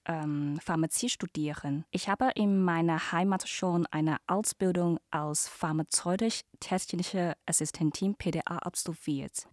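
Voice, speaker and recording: A woman from Hong Kong in her thirties, a native Cantonese speaker, answering podcast questions carefully with many pauses and fillers. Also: fairly low pitch, darkish tone, no room sound, studio quality. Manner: careful non-native speech with many hesitations. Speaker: interview guest, student ambassador.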